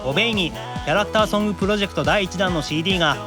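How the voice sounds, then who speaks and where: A man voicing a shy, gentle, awkward young male demon from an anime-style game, in a scripted performance. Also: high-pitched, very fast, music in the background, close to an everyday voice. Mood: upbeat promotional.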